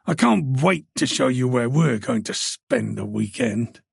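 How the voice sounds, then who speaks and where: A man voicing a menacing, unhinged male kidnapper who taunts his captives in a slow, drawn-out way. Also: medium pitch, animated, gravelly, slightly rough, no echo, studio quality, voice pushed down, exaggerated voice. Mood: taunting menace.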